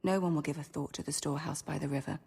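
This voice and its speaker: Rebellious novice, sixteen, radio drama: husky-breathy, confiding, heavily reverberant sound. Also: female voice, deep voice, fairly even intonation, racing, a little mellow, full character voice. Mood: secretive excitement. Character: rebellious, scheming sixteen-year-old novice girl. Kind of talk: radio drama acting and inner monologue.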